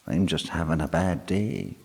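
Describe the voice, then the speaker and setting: A man voicing a tiny, bearded, easily offended male fairy, huffy and sharp-tongued. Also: very deep voice, very animated, a little slow, gravelly, breathy edge, exaggerated voice. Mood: indignant. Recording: long hall reverb.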